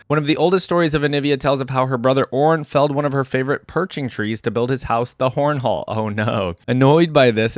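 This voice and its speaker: A man's voice: medium pitch, dry recording, clean studio sound. A man hosting a reaction video, casually commenting and reading lore aloud with informal, chatty asides. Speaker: video host, reaction content creator.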